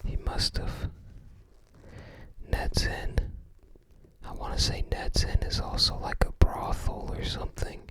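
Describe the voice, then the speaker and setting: An ASMR host whispering in a hushed, unhurried way, musing aloud while browsing a book. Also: low-quality recording.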